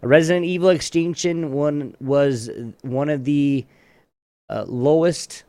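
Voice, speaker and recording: A man's voice: fairly high pitch, slow pace, darkish tone, clear, resonant tone, dry recording. A man casually hosting a trivia round on a live show, rambling and often rephrasing himself.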